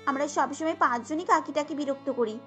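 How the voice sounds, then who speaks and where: A woman's voice: high voice, fairly quick, faint music behind, close to an everyday voice. A woman voicing an aggrieved young village daughter-in-law in a cartoon, explaining and complaining. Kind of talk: cartoon dialogue.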